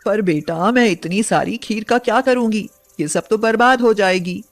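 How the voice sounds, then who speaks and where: A woman voicing a poor, tender-hearted old village woman in a storybook tale, speaking gently and devoutly. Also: medium pitch, moderate pace, lightly acted. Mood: gentle, puzzled. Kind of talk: acted storybook dialogue.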